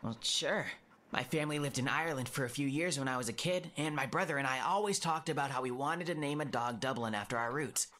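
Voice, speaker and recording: A man's voice: mid-range voice, quick pace, bright, forceful tone, pitched above the performer's own voice, lightly acted. A man voicing an eager, friendly young man with an earnest manner in an audiobook.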